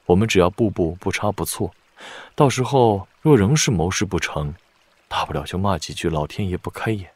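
An actor playing a calm, calculating blind male strategist in a wuxia audio drama, speaking in a measured, deliberate way. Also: low-pitched, slightly rough, dry recording. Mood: measured, analytical.

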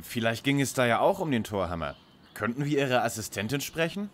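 Young lead detective played by a man: courteous, precise, medium pitch with animated melody, for a parody radio play. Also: a little fast, lightly acted. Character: polite, formal young male lead detective.

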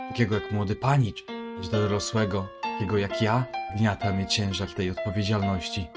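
A man's voice: on the low side, lightly acted. A man voicing a pompous, anxious, greedy royal deputy in a cartoon fan dub, speaking in theatrical, archaic, flowery phrases. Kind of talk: fan-dub comic acting. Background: music.

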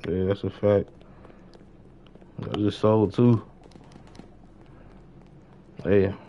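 A man's voice: lowish voice, fairly even intonation, drawn-out words, warm tone, a little hiss or hum. A young adult man chatting casually in street slang as he streams live gameplay. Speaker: game streamer.

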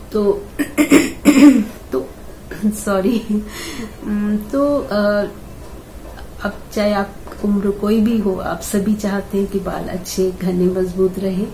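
Slow, hoarse older woman. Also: medium pitch, mellow. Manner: unhurried, chatty, frequent hesitations and throat clearing. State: hoarse throat. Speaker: host. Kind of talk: casual home-remedy tutorial. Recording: echoey room with faint ambient noise.

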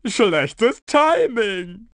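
A man's voice: high-pitched, very animated, very slow, fairly bright tone, full character voice. An actor playing a pompous, gloating mad-scientist villain in a video game, theatrical and drawing out his words.

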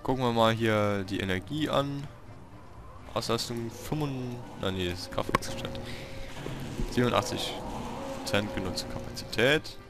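A man giving casual gameplay commentary, talking to himself in short, halting remarks. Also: lowish voice, over music. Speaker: let's player hosting a city-building game series.

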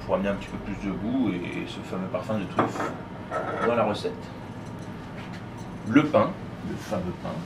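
A middle-aged man with a fairly low voice explains a recipe step by step. He talks informally, like a chef busy with his hands. The restaurant kitchen around him is loud, with clattering dishes and pans. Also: normal speed, long pauses, noisy background. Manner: informal, talking while working with his hands. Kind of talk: casual step-by-step cooking demonstration. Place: professional restaurant kitchen, tiled, indoors. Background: loud kitchen ambience, clattering pans and cutlery.

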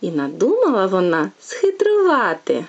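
A woman storyteller reading a fairy tale to a small child, gently and expressively, speaking directly to the young listener. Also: medium pitch, huge pitch swings, moderate pace, muffled recording, slight background noise.

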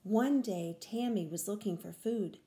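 An older woman reading a children's picture book aloud in a deliberate, expressive way, stressing key words. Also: mid-range voice, echoey room. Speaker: read-aloud storyteller. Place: small room at home, indoors.

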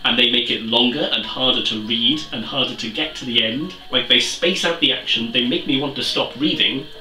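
A man's voice: mid-range voice, a little fast, pushed and bright, distant mic, faint music behind. A man in his twenties with a Welsh accent, chatting animatedly and self-mockingly in a casual book review vlog. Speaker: book vlogger. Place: small room with bookshelves, indoors. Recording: roomy echo, mic set back.

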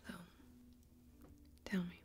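A young woman playing a tough, watchful female warrior in a fantasy ASMR role-play, whispering breathily and deliberately, with long pauses. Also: a little mellow, faint music behind, close to an everyday voice. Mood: testing, challenging. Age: young adult. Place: small indoor bar set with bottles. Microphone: close ASMR microphone.

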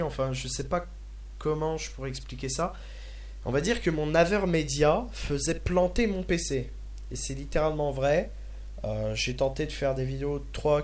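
A slightly hoarse young man hosting a casual video game let's play, hesitant and full of filler sounds. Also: fairly high pitch. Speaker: gaming video host.

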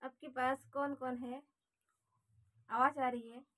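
A woman's voice: high voice, flat delivery, slow pace, bright, forceful tone. A young adult woman with an Indian accent, talking casually on a phone call from a small room at home. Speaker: prank caller.

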